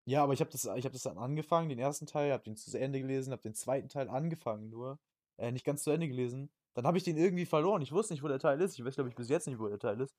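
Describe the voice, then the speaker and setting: A man's voice: mid-range voice, racing, a little mellow, dry recording, clean studio sound. A young man chatting casually as a podcast co-host, rambling in slang and often repeating his words. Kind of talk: casual chat.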